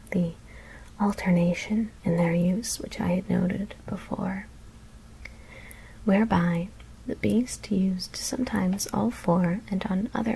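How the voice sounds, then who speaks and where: A woman whispers a horror story in a hushed, deliberate ASMR reading. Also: fairly low pitch, gravelly, low-quality recording. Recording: faint background noise.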